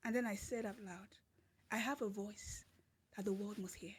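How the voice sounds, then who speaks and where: A woman's voice: mid-range voice, fairly even intonation, normal speed, slight background noise. A woman with a Nigerian accent, a podcast guest telling a personal story with emphatic repetition and laughter. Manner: emphatic, repeats phrases, laughs through story. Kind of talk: storytelling in casual interview.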